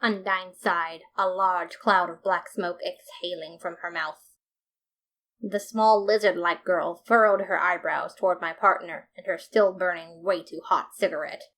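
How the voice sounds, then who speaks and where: A woman reading a fan story aloud as narrator, with lively, playful storytelling. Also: fairly low pitch, a little slow, low-quality recording. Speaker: podfic reader.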